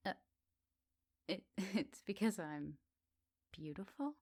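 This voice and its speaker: A woman playing a flirty, teasing witch in a soft, intimate ASMR roleplay. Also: mid-range voice, lively intonation, dry recording, clean studio sound. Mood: flustered, shy. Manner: soft, teasing, giggly, unhurried. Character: teasing, flirty, lonely witch in a woodland cottage.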